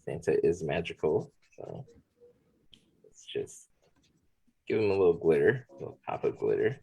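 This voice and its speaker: A man leading a drawing demonstration, talking in a relaxed way as he draws. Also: low-pitched, moderate pace, creaky, breathy edge, rough recording. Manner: relaxed, talks while drawing. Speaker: art instructor. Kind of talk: drawing demonstration, thinking aloud.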